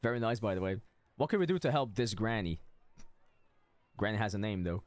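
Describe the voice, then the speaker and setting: A man's voice: lowish voice, lively intonation, brisk, background noise. A man streaming a game with casual commentary and chat, often stammering and repeating words. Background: game music.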